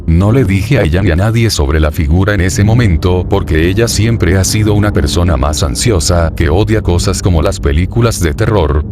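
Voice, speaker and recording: A man narrating a first-person horror story in a steady, even reading voice. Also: sits low, background noise. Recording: narration over clear background music. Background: background music.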